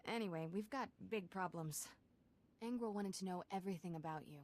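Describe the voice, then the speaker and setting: An actress playing a spirited, wry young Jedi apprentice in a video game. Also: female voice, medium pitch, a little fast, a little mellow, close to an everyday voice. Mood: urgent.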